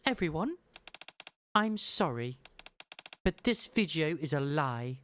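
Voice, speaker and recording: A woman's voice: sits low, very animated, slow, fairly bright tone, slight background noise, exaggerated voice. A woman playing a stoic, principled naval commander in an anime game fandub, speaking formally and earnestly with authority. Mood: stern, earnest.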